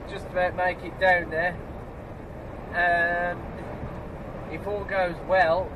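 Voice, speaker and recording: A truck driver with a stuffed-up nose from a cold, chatting casually to the camera from his cab and grumbling at other road users. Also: high voice. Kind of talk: casual driving vlog commentary. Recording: road and engine noise in cab.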